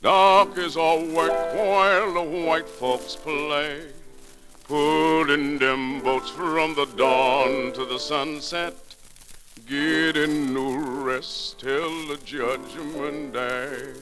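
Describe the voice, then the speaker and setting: A man singing a slow, solemn theatrical song in an old 1930s radio recording. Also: on the high side, fairly even intonation, music in the background, rough recording. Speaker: singer. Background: musical accompaniment.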